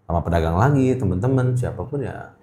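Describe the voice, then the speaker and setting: A man's voice: low voice, lively intonation, brisk, dark tone. A middle-aged man with a Jakarta accent, chatting casually as an interview guest, often repeating words for emphasis. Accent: Jakarta Indonesian. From Indonesia. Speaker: guest, gemstone trader. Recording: echoey room.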